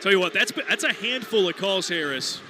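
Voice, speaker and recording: A man's voice: sits high, animated, brisk. A man doing play-by-play as the announcer of a live basketball broadcast. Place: courtside in a basketball arena. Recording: noisy live arena broadcast.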